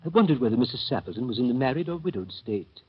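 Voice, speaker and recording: Nervous prim gentleman, husky voice, throat-clearing, dull old radio sound. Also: medium pitch, animated, quick pace. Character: nervous fussy man on a rest cure. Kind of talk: 1930s radio drama narration.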